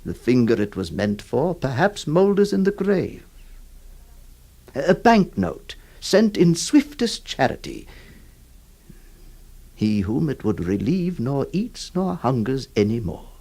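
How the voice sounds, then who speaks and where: A man playing a troubled, compassionate lawyer who narrates in solemn, halting, literary phrases for a radio drama. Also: mid-range voice, sing-song, gravelly, dark tone, full character voice. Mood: anguished, grieving. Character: troubled, compassionate male lawyer recounting a sad history.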